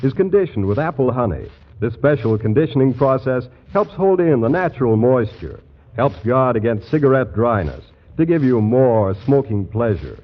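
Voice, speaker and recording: A man's voice: mid-range voice, expressive, normal speed. A man as a radio announcer, reading a scripted 1940s commercial. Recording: dull old 1940s radio broadcast.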